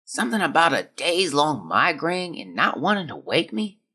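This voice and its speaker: An actor as a husky, sick young child. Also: medium pitch, big pitch swings, moderate pace, pushed and bright, heavily acted. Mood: weary, upset. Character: sick, frightened young child writing a diary in 1914. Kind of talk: dramatic diary monologue.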